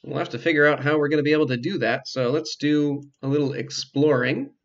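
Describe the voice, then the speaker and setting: A man's voice: on the high side, fairly even intonation, fairly quick. Man's game commentary, slightly dull sound. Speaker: video game player and commentator. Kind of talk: casual let's play commentary.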